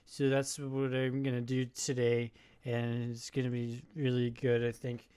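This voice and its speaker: Hesitant man with a flat voice. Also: mid-range voice, smooth. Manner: halting, hesitant, many fillers. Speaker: video commentator. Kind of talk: rambling monologue.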